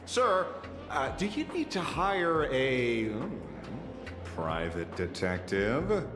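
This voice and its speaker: A man playing a shrewd, composed private detective in a video game, speaking in a measured, dry way. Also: medium pitch, sing-song. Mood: bargaining. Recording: echoey, over music.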